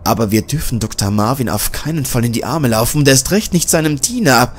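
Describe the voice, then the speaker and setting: A man's voice: medium pitch, expressive, fairly quick, lightly acted. A man as a tense young reporter. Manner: tense, determined. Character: determined male journalist, young adult.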